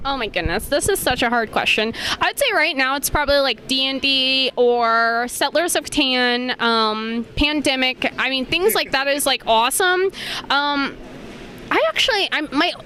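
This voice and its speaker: Young woman, high bright voice, informal, chatty, enthusiastic, interview guest. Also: moderate pace. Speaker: professional cosplayer being interviewed. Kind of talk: casual interview chat. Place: convention venue. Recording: on-location interview with clear background noise.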